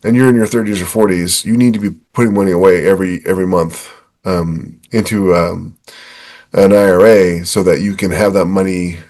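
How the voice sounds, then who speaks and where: A man's voice: deep voice, fairly even intonation, brisk, a little mellow. A man in his fifties giving casual, emphatic, explanatory advice in a conversational chat. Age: 50s. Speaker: podcast co-host.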